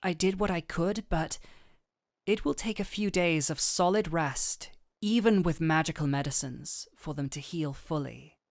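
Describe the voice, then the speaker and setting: Casting: actress as caring fantasy healer woman for roleplay audio; lowish voice, soothing yet firm, very close dry sound. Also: dry recording. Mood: gentle concern. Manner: soft, soothing, unhurried, earnest. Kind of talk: intimate scripted roleplay audio addressed to the listener.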